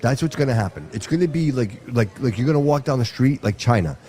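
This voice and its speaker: A man's voice: medium pitch, expressive, quick pace, mellow, slight background noise. A man whose first language is Portuguese, talking emphatically in a casual podcast chat, often calling his listener dude or bro. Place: live podcast broadcast.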